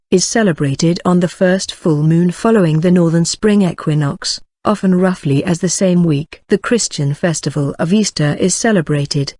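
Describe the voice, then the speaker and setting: A synthetic-sounding voice reading an encyclopedia article aloud, keeping the same even delivery through section headings and sometimes mispronouncing names. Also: mid-range voice, dry recording, studio quality.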